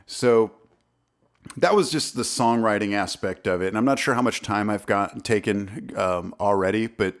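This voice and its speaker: Deep-voiced man chatting casually into a studio mic. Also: normal speed. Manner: relaxed, rambling, frequent restarts and fillers. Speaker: songwriter vlogger. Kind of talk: casual talk to camera. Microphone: studio condenser mic, close.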